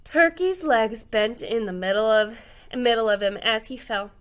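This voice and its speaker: Female storyteller for a children's picture book: bright, fairly high, expressive, questioning her young listeners. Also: a little slow. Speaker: read-aloud storyteller, teacher.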